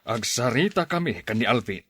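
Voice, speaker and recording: A man's voice: mid-range voice, lively intonation, normal speed, a bit bright, husky, dry recording, clean studio sound. A man playing a stern, admonishing middle-aged father in an Ilocano radio drama.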